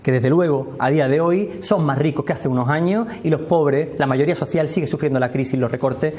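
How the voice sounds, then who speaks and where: A man's voice: a little high, fairly quick, darkish tone. Andalusian man arguing steadily. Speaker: party communications officer, guest. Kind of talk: TV studio interview.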